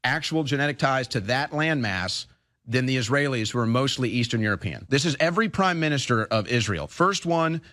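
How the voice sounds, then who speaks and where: A man's voice: medium pitch, animated, a bit bright, no room sound. Man rattling off a list, dry sound. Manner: rattles off a list in quick succession.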